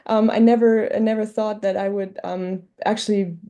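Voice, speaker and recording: A woman's voice: medium pitch, fairly even intonation, moderate pace, mellow. A woman from Germany with a German accent, giving an informal academic talk in a reflective, hesitant, self-correcting way. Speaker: multilingual scholar presenting at a book talk.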